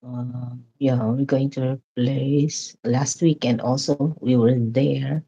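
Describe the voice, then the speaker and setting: Loud, talkative woman vlogger from the Philippines, dark husky voice, live-stream chat. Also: extremely low pitch, steady tone, takes it slowly, highs cut off. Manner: loud, chatty, laughs often. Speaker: vlogger chatting with live viewers.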